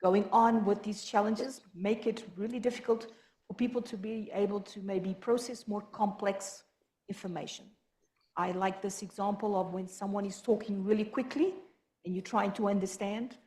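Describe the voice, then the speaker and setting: Fast-talking woman. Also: mid-range voice, fairly even intonation, dark tone. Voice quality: quick, halting delivery with many restarts. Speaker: health professional. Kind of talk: support group presentation. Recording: echoey room.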